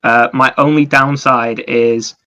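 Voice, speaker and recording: Man with a bright, even-pitched voice. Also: slow pace. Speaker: podcast co-host. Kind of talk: casual gaming discussion.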